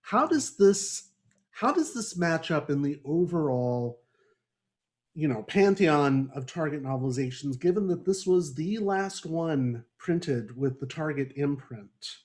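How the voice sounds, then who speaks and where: A man's voice: fairly high pitch, animated, measured pace, a little mellow. A man hosting a casual book club discussion over a video call, often chuckling and prompting others with questions. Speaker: podcast host.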